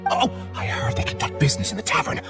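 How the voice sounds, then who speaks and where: An actor playing a distressed, urgent male scholar on the run in a video game, halting and pleading. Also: over music, natural voice. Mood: frightened, urgent.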